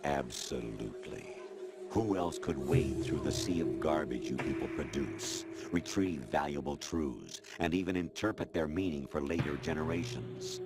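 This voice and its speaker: A man voicing a cold, condescending artificial intelligence in a video game cutscene, lecturing in a measured, disdainful way. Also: extremely low pitch. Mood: cold contempt. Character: cold, condescending male artificial intelligence posing as human authority. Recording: loud music behind the voice. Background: loud music.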